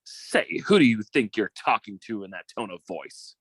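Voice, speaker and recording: An actor playing a scheming, bullying yet cowardly suitor in an old-time radio drama, theatrical and given to stammering when flustered. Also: male voice, on the low side, expressive, moderate pace, breathy edge, dry recording. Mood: menacing scorn.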